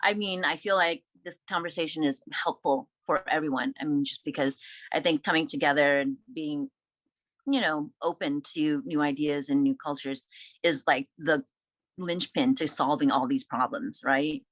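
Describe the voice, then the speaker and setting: A woman with a notably low voice hosts the panel. She sounds encouraging and affirming toward her guests. The sound is dry and close. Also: normal speed, dry recording. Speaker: host. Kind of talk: casual panel discussion.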